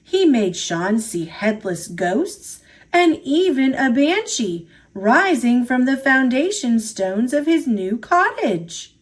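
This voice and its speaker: A woman reading a children's picture book aloud in an expressive storytelling style. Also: sits high, slow, breathy edge. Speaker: storybook reader.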